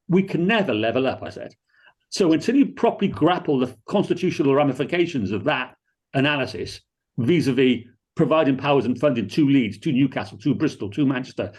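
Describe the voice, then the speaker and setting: A man's voice: mid-range voice, darkish tone, husky. A man from Cardiff with a Cardiff accent, speaking plainly and emphatically on a discussion panel. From Wales.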